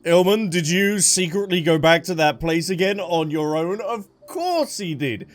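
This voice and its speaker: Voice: medium pitch, expressive, unhurried. A game streamer giving casual, reactive commentary, thinking aloud while playing.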